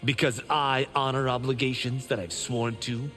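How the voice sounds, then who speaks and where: A man's voice: medium pitch, steady tone, normal speed, a bit bright, big-room sound, faint music behind, natural voice. An actor playing a cocky, witty young male monk fighter in a video game, wry and joking in manner. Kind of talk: video game character voice acting.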